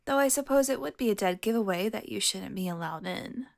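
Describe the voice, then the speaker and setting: A woman's voice: medium pitch, lively intonation, brisk. A woman playing a teasing, flirtatious, sardonic and foul-mouthed vampire in an audio roleplay, speaking straight to the listener. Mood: sardonic, amused. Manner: sardonic, teasing, breathy asides.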